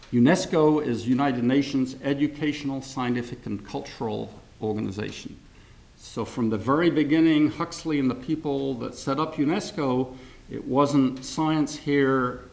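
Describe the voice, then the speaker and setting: Older man with a gravelly voice, rambling talk. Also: mid-range voice, a little mellow, poor audio. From grew up in France and England. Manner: rambling, self-correcting, chuckles often. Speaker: seminar speaker. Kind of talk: informal lecture with anecdotes. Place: lecture hall.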